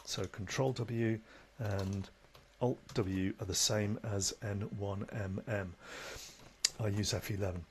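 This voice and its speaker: A man presenting an unscripted, conversational software tutorial, explaining things step by step as an instructor. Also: fairly low pitch, steady tone, takes it slowly. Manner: instructional, conversational, with tag questions. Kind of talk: unscripted screen-recording tutorial. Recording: noticeable background noise.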